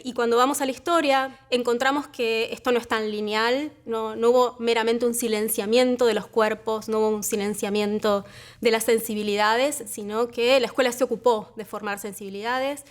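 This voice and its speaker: A woman from Argentina with an Argentine accent, speaking as an academic researcher in a measured, reflective conversation with frequent pauses. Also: fairly high pitch, fairly even intonation. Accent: Argentine Spanish.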